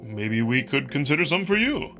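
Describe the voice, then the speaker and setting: Big young wolf-man for a visual novel, male performer: earnest yet playful, crisp bright tone, music underneath. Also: mid-range voice, very animated, normal speed. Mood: earnest, concerned.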